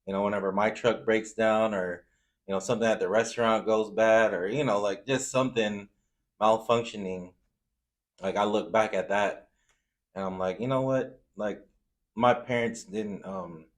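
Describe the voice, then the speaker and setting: A man's voice: lowish voice, fairly even intonation, moderate pace. A man from Zambia in a casual interview, telling personal anecdotes in an informal, slangy way. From Zambia, moved to the US. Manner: informal, slangy, frequent "you know". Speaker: food truck owner, interview guest.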